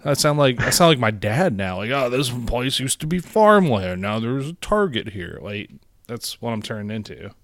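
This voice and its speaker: A man's voice: medium pitch, very animated, moderate pace, a bit bright, no echo. A young man from North Carolina co-hosting a casual podcast, telling stories in a rambling way with plenty of swearing and laughs. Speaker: podcast co-host. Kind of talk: casual chat.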